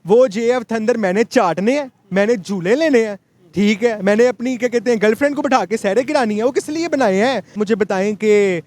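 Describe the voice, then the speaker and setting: A Pakistani man with a notably high voice speaks as an interviewee. He is blunt and sarcastic, giving opinionated answers and slipping English phrases into his speech. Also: brisk, a little mellow. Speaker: interviewee, university English lecturer.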